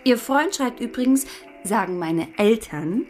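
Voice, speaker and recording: A woman's voice: on the high side, expressive, unhurried, darkish tone, soft background music. An actress playing an eager, forthright eighteen-year-old schoolgirl, familiar and a little bossy, in a radio drama. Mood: inquisitive, bossy. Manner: direct, eager, quizzing, familiar.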